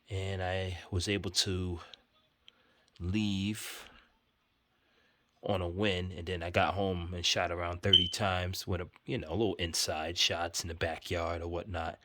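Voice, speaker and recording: A man's voice: low voice, sharp tone, no room sound. A man, giving a rambling, self-assured spoken update full of numbers. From New York City. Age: 30s. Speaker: vlogger.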